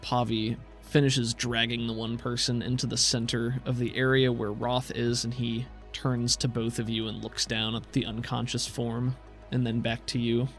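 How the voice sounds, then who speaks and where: A man runs a tabletop roleplaying game, describing each scene in a conversational, improvised way. His voice is mid-pitched, with faint music behind it. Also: little pitch movement, normal speed. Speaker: game master.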